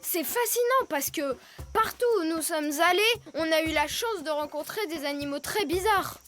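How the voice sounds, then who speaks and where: An eager young boy animal in a cartoon. The voice is high and youthful, curious and politely friendly, with light music behind it. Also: lively intonation, moderate pace, a bit bright. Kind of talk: French cartoon dub acting.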